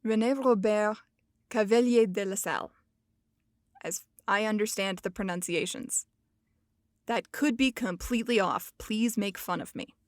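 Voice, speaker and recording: A woman's voice: mid-range voice, measured pace, no echo, studio quality. A woman hosting a podcast, explaining in a casual, playful and self-mocking way. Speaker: podcast host. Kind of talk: casual educational podcast talk.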